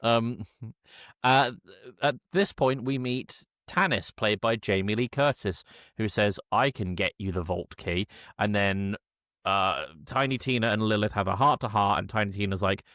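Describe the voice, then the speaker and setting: A man recapping a film casually as a podcast co-host, halting and correcting himself, with an irreverent edge. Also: fairly low pitch, fairly bright tone, dry recording, clean studio sound. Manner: halting, hesitant, self-correcting delivery.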